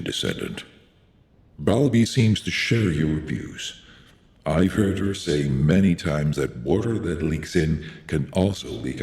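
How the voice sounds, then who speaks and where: An actor playing a grave, composed military commander in a video game, speaking in a measured, solemn, authoritative way with a gravelly voice. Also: male voice, a bass voice, a little mellow, heavily acted. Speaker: game character. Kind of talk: scripted video game dialogue. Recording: roomy echo.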